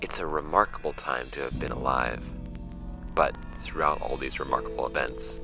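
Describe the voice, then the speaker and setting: A man reads as an elderly letter writer, reflective and formal, in an audio drama. The voice is extremely deep and creaky, with measured, deliberate phrasing over faint music. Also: full character voice. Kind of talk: formal first-person letter reading.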